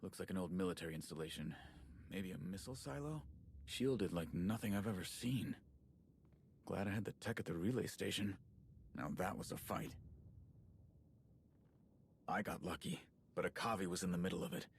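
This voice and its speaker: A man playing an easygoing, plain-talking scout in a video game, casual and conversational, with background rumble. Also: normal speed, gravelly, pauses often. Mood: earnest briefing. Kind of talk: video game cutscene dialogue.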